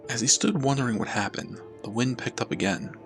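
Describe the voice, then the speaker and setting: A man narrating a scripted horror story as a storyteller, in a measured, dramatic way. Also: medium pitch, a bit bright, faint music behind. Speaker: storyteller, channel host.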